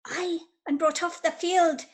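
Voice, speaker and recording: A woman's voice: extremely high pitch, steady tone, a little slow. A woman playing a solemn noble messenger in a Shakespeare radio play, delivering verse with care. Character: solemn noble messenger bearing news of death.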